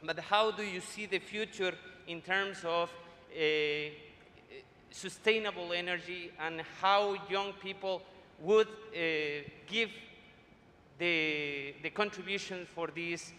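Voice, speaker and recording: Bright-voiced man from Ecuador. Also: high-pitched, slow. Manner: grateful, slightly ungrammatical. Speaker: doctoral student asking a question. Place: conference hall. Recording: long hall reverb, faint ambience.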